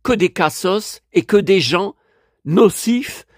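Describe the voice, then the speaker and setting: A man's voice: high-pitched, expressive, slow pace, dry recording, clean studio sound. An older French man rambling to his viewers, complaining and restarting his words often. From France. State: in pain after an injury. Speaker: collector vlogger. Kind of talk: casual monologue to camera.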